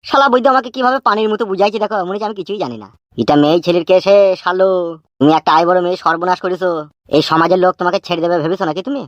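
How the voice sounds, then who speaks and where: A man's voice: sits high, racing, no echo, muffled recording, lightly acted. An actor voicing an anxious, scolding old cartoon father with a rural West Bengal Bengali accent, nagging and repeating words. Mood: exasperated scolding. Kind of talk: comic cartoon dubbing.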